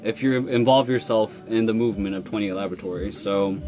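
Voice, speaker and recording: A man with a low voice talks loosely into his phone during a live stream, answering fans in a laid-back, rambling way. Faint music plays behind him. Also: brisk, darkish tone. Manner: laid-back, lots of fillers and laughs. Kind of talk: casual live-stream chat reading fan comments.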